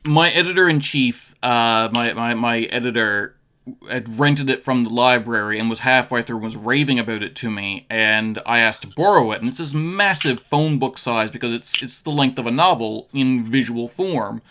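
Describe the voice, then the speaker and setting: A man's voice: medium pitch, moderate pace, pushed and bright. A man hosting a radio interview in casual chat, rambling with fillers and restarts. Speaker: radio host.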